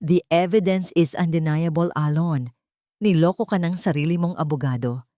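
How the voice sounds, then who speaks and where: A woman narrator acts a shrewd older tycoon, the hero's rival, in an audio drama. He is measured and authoritative, slightly low in pitch, recorded dry. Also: dark tone, no echo, natural voice. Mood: stern, measured. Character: shrewd older male business rival with principles.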